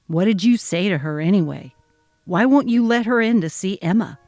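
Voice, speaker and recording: A woman voicing a young husband who talks calmly and dismissively. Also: medium pitch, normal speed, no echo, clean studio sound, faint music behind, natural voice. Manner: calm, brushing off, patronizing. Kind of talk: dramatic reading of a text-message story.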